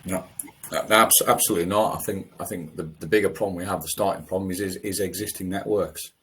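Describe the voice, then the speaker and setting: A man answers questions on a conference panel in a very deep, husky, flat voice. He speaks quickly and repeats words as he starts sentences.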